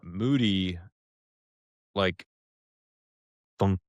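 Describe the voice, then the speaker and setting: A man's voice: low-pitched, drawn-out words, dry recording, dull audio. A man co-hosting a podcast, giving his review loosely and casually, feeling around for descriptive words. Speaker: podcast co-host.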